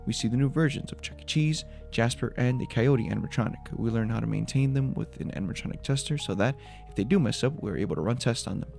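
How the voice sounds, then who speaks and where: A man's voice: medium pitch, quick pace, vocal fry, few pauses, darkish tone, soft background music. A man giving a scripted breakdown of a horror video as its creator, in voice-over narration.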